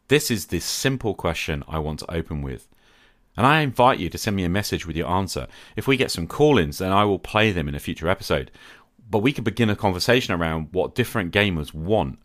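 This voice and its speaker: A middle-aged man hosting a podcast, delivering a reflective scripted monologue in a measured, earnest way with rhetorical questions. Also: low voice, a bit bright, dry recording, studio quality. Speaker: podcast host.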